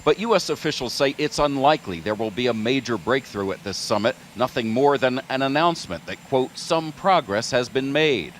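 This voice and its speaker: A man's voice: medium pitch, expressive, normal speed, hardly any pauses. Man reporting over street noise. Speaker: news correspondent. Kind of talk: scripted news report.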